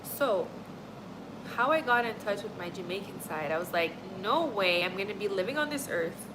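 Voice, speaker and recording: A woman's voice: medium pitch, expressive, normal speed, noisy background. A young woman from Montreal, chatting casually with her livestream audience in a rambling, friendly way full of "like" and "honestly". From Montreal, Canada. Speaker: livestream host. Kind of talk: casual chat with live chat.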